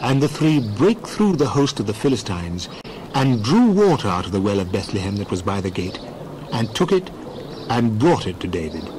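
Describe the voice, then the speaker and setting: A man narrating scripture in a measured, formal way for a dramatized reading. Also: fairly low pitch, very animated, a little mellow, a little hiss or hum, poor audio.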